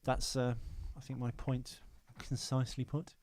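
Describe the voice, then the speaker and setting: A man's voice: mid-range voice, flat delivery, a little slow, darkish tone, a little hiss or hum. A man co-hosting a podcast with casual, rambling film-review banter and a wry manner. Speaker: podcast co-host.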